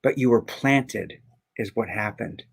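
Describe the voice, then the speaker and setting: A man with a medium-pitched voice talks as a guest in a casual, inspirational conversation. His phrasing is deliberate and emphatic, building through repeated openings. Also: gravelly, slightly rough.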